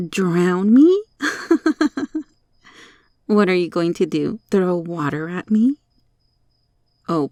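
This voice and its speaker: A woman voicing a sly, teasing monster cockroach girl in an ASMR roleplay, taunting and coaxing with deliberate, sometimes whispery delivery. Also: on the low side, warm tone, dry recording, clean studio sound, heavily acted.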